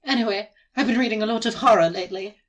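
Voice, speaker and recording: A woman's voice: medium pitch, fast, natural voice. Woman playing shy bookish schoolgirl, put-on British accent. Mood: thoughtful, absorbed. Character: shy, gentle, bookish schoolgirl, passionate about novels. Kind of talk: hobby voice-over of visual novel game.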